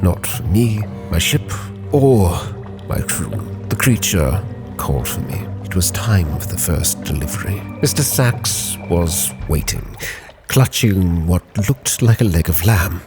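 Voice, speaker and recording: A man narrating a dramatic story as a sea captain reading his log, solemn and measured, with phrases that sink into a hush. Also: deep voice, lively intonation. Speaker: narrator and roleplayer. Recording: music under the voice.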